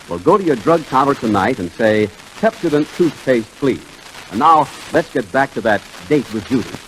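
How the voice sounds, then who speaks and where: A man's voice: medium pitch, low-quality recording. A man announcing a commercial in 1940s radio style, upbeat and emphatic, on an old hissy recording.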